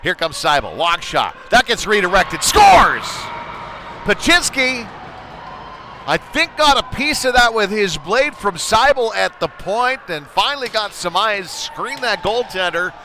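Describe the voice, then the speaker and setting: Bright-voiced man calling amateur hockey. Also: high voice, unhurried, slight background noise. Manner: conversational and informal, excited when play heats up. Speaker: play-by-play hockey commentator. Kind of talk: live amateur sports commentary.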